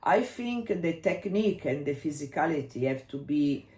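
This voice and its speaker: A woman's voice: deep voice, animated, moderate pace, a little mellow. A woman with an Italian accent, explaining as a dance coach in casual discussion, hesitant and searching for English words. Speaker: dance coach and podcast co-host. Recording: echoey room.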